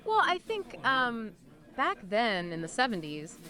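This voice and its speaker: A woman's voice: on the high side, huge pitch swings, measured pace, bright, forceful tone. A woman speaking as an expert podcast guest, explaining things in an agreeable, conversational way. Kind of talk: casual explanatory chat.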